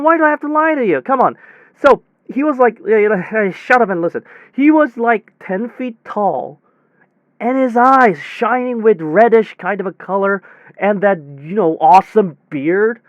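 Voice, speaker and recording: High-voiced, excitable man telling a rambling story. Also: dry recording, dull audio. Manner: rambling, excitable, hesitant repetitions. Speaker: air conditioning technician character telling a story. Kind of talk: acted one-sided phone call, casual storytelling.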